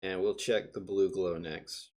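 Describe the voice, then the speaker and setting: Male player, fairly deep voice, informal and slightly hesitant tabletop game talk. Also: steady tone, measured pace, a little mellow.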